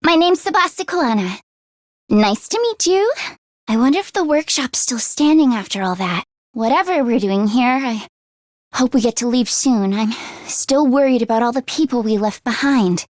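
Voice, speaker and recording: A woman voicing an earnest, anxious teenage girl mechanic in a video game, talking in a casual, expressive, youthful way. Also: fairly high pitch, moderate pace, no room sound, clean studio sound, close to an everyday voice. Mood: friendly, curious. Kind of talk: English video game voice acting.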